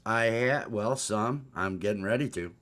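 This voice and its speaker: Rambling baritone man hosting a chat. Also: moderate pace, fairly bright tone, slight background noise. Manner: rambling, with many restarts and hesitant fillers. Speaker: host. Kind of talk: casual morning livestream chat.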